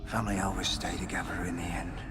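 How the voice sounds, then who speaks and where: An actor playing a doomed male henchman who speaks in a quiet, defeated way, in a game cutscene. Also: sits low, little pitch movement, fast, over loud music, close to an everyday voice. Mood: resigned. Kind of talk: video game cutscene acting.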